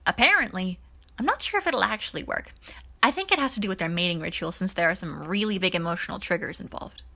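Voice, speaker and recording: A woman's voice: medium pitch, expressive, fast talker, creaky, sharp tone, full character voice. A woman voicing a clever, curious teenage girl tech whiz in an audiobook, earnest and casual. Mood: mischievous.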